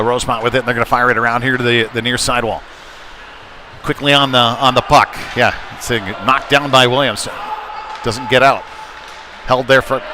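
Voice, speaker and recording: A man commentates a live hockey game with clear noise from the rink behind him. His delivery is conversational, as if thinking aloud while following the play. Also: medium pitch. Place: indoor ice rink. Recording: noisy live rink broadcast.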